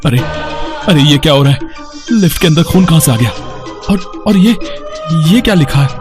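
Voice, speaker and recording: A man's voice: fairly high pitch, lively intonation, normal speed, music in the background, close to an everyday voice. An actor playing a frightened, bewildered man haunted by a witch in a horror storybook tale, repeating his words in panicky stammers. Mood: panicked.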